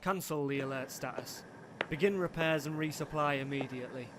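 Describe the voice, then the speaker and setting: Man playing a cold, higher-pitched young commander. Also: fairly quick, over music, lightly acted. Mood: commanding. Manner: calm, direct, curt, disdainful. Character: cold, sardonic military commander in his late twenties. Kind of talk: radio-play acting.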